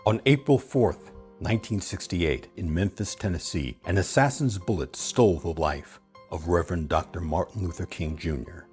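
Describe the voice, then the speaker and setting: A man hosting and narrating a documentary from a script, with deliberate, emphatic phrasing and a dramatic delivery. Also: deep voice, a little mellow, faint music behind.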